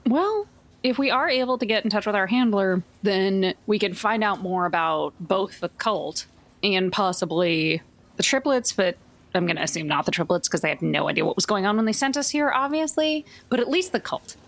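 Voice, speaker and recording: A woman's voice: mid-range voice, expressive, normal speed. A woman talking casually in character as a player in a tabletop roleplaying game.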